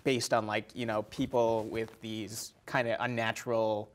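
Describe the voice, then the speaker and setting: A man with a fairly low voice talks casually on a film podcast. His delivery is loose and hesitant, and he often backs up to qualify or correct what he has said. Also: level tone, measured pace. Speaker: podcast co-host or guest.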